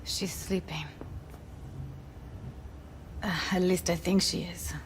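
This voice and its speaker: A woman's voice: on the low side, steady tone, slow. An actress voicing a jaded, frank, protective young woman tech expert in a video game, with some noise behind her. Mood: bitter, subdued. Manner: frank, weary, informal.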